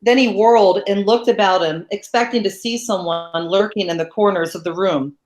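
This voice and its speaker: A woman narrating a novel in a steady, measured read-aloud. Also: medium pitch. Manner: steady, even, measured reading. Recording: roomy echo.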